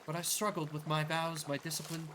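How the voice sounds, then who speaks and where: A man voicing a gentle, devout former nun who speaks in a measured, solemn and formal way, in a game playthrough. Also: fairly high pitch, level tone, slight background noise, pitched above the performer's own voice, close to an everyday voice. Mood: earnest, grateful.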